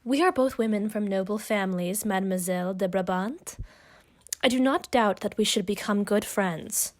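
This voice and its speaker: A woman's voice: mid-range voice, normal speed, close to an everyday voice. A woman playing a spirited, outspoken noble wife in an audio drama, with poised, formal period speech. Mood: confiding, wry.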